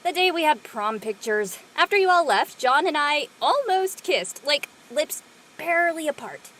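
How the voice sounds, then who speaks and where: Outspoken teen girl played by a woman, very high bright voice, slangy drama dialogue. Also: normal speed. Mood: awkward, dismissive. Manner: slangy, expressive. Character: brash, outspoken teenage girl. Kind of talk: casual scripted drama dialogue.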